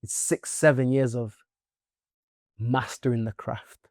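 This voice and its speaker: Leeds guy in his 20s, gravelly voice, mid pitch, animated and jokey, dry sound. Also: slow, no echo. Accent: Leeds English. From Leeds, England. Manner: animated, playful, chatty. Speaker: musician guest. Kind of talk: casual interview chat.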